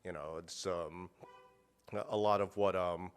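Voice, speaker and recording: A man's voice: low-pitched, steady tone, measured pace, clean tone, soft background music. A man talking casually as an interview guest, rambling with many fillers and restarts and cursing bluntly. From United States. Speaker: guest, meat purveyor.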